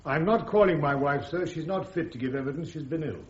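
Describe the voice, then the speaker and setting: An actor playing a guarded, respectful British army major on trial in a radio drama. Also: male voice, a little high, fairly quick, close to an everyday voice. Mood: guarded, protective. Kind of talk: radio courtroom drama acting. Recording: echoey, dull radio drama recording.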